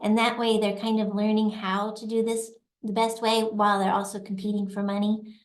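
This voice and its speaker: Woman, middle-aged, mid-pitched, chatty, laughs easily, echoey room. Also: level tone, moderate pace. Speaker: assistant dean of a graduate school, guest. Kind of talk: conversational interview answers.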